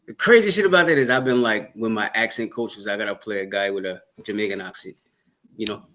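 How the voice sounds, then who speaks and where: Rambling, fast-talking male actor guest. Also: fairly low pitch, fairly bright tone. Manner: rambling, quick, laughs often. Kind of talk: casual interview chat.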